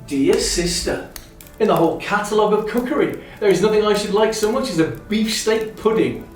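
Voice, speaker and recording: An actor playing a kindly, hopeful Victorian gentleman and brother in his thirties, with formal, earnest phrasing in a literary drama. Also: high-pitched, lively intonation, brisk. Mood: fond, amused. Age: 30s. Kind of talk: stage-style literary radio drama acting. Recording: very echoey, distant, music behind.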